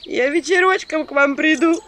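Shrill, slow lamenting village woman in an audiobook, high and pleading, noisy hiss behind. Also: a bit bright. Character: wailing, pleading village woman, middle-aged. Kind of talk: audiobook character voice.

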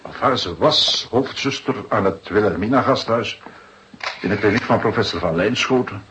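A man playing a self-important, fussy but humane coroner in a radio drama, with a pompous and halting delivery. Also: on the low side, expressive, close to an everyday voice. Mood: shocked, grieving. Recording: muffled radio recording with clear noise.

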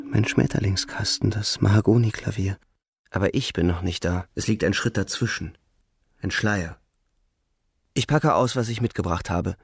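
An actor playing a young, weary, shaken soldier on leave, narrating his thoughts in a halting, introspective radio-drama manner. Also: fairly low pitch, gravelly, breathy edge. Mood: subdued, detached. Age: young adult. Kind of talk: radio drama, first-person interior narration.

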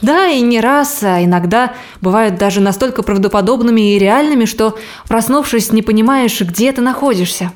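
A young woman playing a fragile, caring twenty-year-old army nurse in an audio drama, with a gentle manner. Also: on the high side, moderate pace, few pauses, natural voice. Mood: concerned. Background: faint music.

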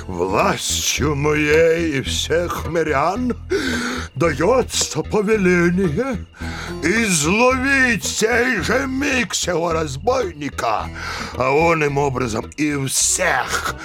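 An actor playing an indignant, pompous old village headman in a theatrical radio play, sputtering between groans and shouts, with music behind. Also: fairly high pitch, animated, drawn-out words, heavily acted. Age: older. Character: blustering, indignant old village headman, a grey-haired womanizer. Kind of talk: theatrical radio-play acting of Russian classic. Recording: studio drama with music behind.

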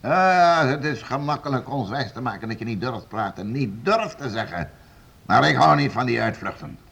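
A man's voice: medium pitch, expressive, moderate pace, gravelly, a bit bright, breathy edge, full character voice. An actor playing a stern, domineering nobleman in a period radio play, curt, impatient and commanding. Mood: threatening. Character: stern, domineering nobleman interrogating servants for the crown. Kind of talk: period radio play acting in formal Dutch.

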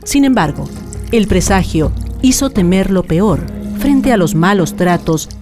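A woman's voice: mid-range voice, animated, measured pace, over music. A woman reading solemn, scripted narration for a radio drama.

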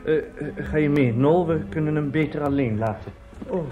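A man's voice: on the high side, moderate pace, phone-quality sound, over loud music, lightly acted. An actor playing a bustling, officious theatre man in a radio play, polite, fussy and hesitant, often repeating himself. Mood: concerned.

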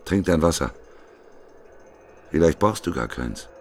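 An actor playing a bitter doomsday prophet in a radio play, declaiming with scorn and sardonic despair, his voice gravelly and husky. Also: extremely low pitch, lively intonation, measured pace, heavily acted. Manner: declamatory, sardonic, swinging from hushed to thundering. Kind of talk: literary radio play acting.

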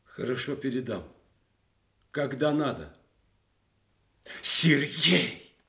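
A man's voice: medium pitch, expressive, slow, vocal fry, sharp tone, full character voice. An actor playing a loyal old war comrade and party man in a Soviet radio drama, speaking with restrained, sober steadiness. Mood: grave.

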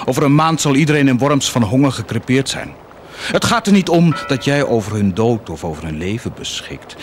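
A man's voice: medium pitch, slight background noise, close to an everyday voice. Man acting a taunting warlord, wildly swinging voice. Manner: mocking, insistent, theatrical swings from murmur to outburst. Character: cynical, taunting war commander tempting a priest. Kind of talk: radio drama acting.

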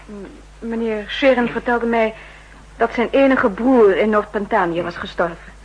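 An actress playing a reserved, hesitant secretary, cautious and polite, in a 1960s radio drama. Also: female voice, fairly high pitch, measured pace, slightly rough, highs cut off. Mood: hesitant, guarded. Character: reserved, hesitant female secretary giving testimony. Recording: faintly noisy old 1960s radio recording.

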